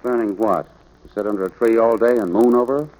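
A low-pitched, gruff American rancher dad played by a man in a vintage radio drama. He speaks firmly and plainly, like a stern father teaching his boy. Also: fairly even intonation, fast, darkish tone, lightly acted, low-quality recording. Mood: exasperated, dry. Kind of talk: 1940s radio drama acting.

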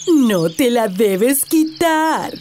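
Gentle mama giraffe, as a woman storyteller voices her. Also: fairly high pitch, lively intonation, extremely slow, soft background music. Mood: caring. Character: caring mother giraffe, gentle and watchful.

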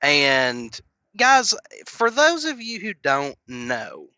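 Young man with a cold, rambling casual podcast rant, bright tone. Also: a little high, huge pitch swings, drawn-out words, no room sound. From Knoxville, Tennessee. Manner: rambling, filler-heavy, earnest. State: sniffly from a cold. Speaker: podcast host.

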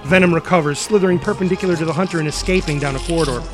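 A man narrates an action script in a dramatic style, reading out the stage directions. His voice sits high, and music plays clearly behind it. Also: racing.

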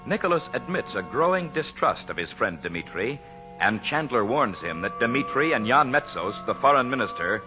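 A man's voice: mid-range voice, sing-song, moderate pace. An American man announcing and narrating in a theatrical, emphatic old-time radio style. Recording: dull old radio recording with music.

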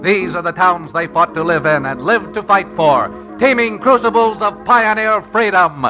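A man announcing a 1950s radio show opening in a booming, declamatory way. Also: animated, moderate pace, sharp tone, soft background music.

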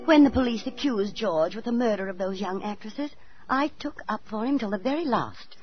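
A woman playing a fretful, kindly, middle-aged landlady and former actress in a 1940s radio drama, theatrical and expressive. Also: medium pitch, normal speed, lightly acted. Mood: ominous recollection. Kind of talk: 1940s radio acting and first-person narration. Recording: muffled 1940s radio recording with music.

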